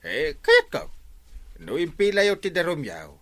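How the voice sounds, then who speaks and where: A bumbling village captain in an Ilocano-accented radio comedy. He stammers evasively in a bright voice that swoops wildly up and down. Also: high voice, wildly swooping pitch, measured pace, vocal fry, exaggerated voice. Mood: evasive, irritable. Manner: stammering, full of hesitant interjections.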